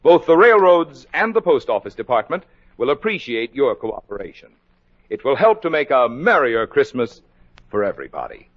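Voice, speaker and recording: A man's voice: mid-range voice, very animated, moderate pace, a little hiss or hum. Formal 1950s radio announcer man, swooping delivery. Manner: formal, emphatic. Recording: dull vintage 1950s radio recording.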